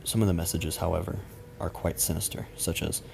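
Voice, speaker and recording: A man reading a scripted creepy story as narrator in a measured, matter-of-fact way. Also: low-pitched, steady tone, creaky, a little mellow, slight background noise. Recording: music behind the voice. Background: background music.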